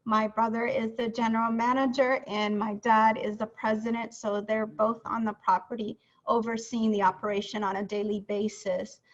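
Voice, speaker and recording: Brief: woman in her 40s, from Honduras, higher-pitched, unhurried presenter, dull lo-fi call audio. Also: level tone. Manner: unhurried, frequent ums while explaining. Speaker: resort booking director presenting. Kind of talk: webinar presentation.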